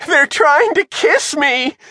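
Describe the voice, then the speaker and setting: A man's voice: very high voice, expressive, slow, bright, forceful tone, no room sound, studio quality, pitched above the performer's own voice, full character voice. A man voicing a cowardly, melodramatic young pirate boy in a children's storybook, panicky and whining. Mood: panicked.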